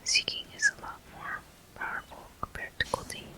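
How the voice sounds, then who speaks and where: A person whispering gentle, unhurried ASMR commentary as a video game walkthrough host. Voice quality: soft, breathy whisper.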